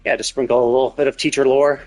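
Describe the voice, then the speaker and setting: A man's voice: mid-range voice, little pitch movement, fast, darkish tone. A man hosting a livestream, giving casual game commentary in a rambling way, thinking aloud as he goes. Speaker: livestream host. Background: game music.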